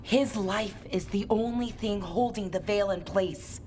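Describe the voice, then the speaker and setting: Freed captive mage played by a woman for a game: medium pitch, slow, halting, earnest, slight background noise. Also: mellow, lightly acted. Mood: grave, pleading. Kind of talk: dramatic game cutscene acting. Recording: faint ambient noise behind the voice.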